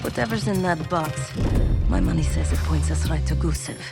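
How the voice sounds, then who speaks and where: A woman's voice: sits low, wildly swooping pitch, moderate pace, gravelly, over loud music, exaggerated voice. A woman playing a tough, sceptical operative in a video game cutscene. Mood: resolute.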